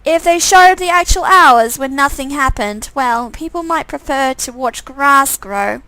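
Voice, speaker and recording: Curious, talkative young female visual novel character, played by a woman, high voice, dry sound, theatrical conversational delivery. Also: unhurried, pushed and bright, no echo, exaggerated voice, poor audio. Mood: wry.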